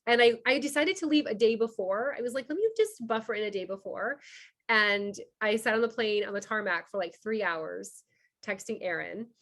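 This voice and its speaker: Fast-talking chatty woman host. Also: medium pitch, a bit bright. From Cuba. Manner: fast, chatty, filler-heavy. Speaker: founder hosting a member orientation. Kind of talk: casual online presentation. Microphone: video call.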